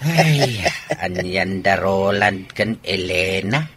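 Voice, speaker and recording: A man's voice: low voice, animated, slow, a bit bright, a little hiss or hum, heavily acted. A man playing a concerned in-law chatting in an Ilocano radio drama. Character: concerned male in-law chatting about the couple.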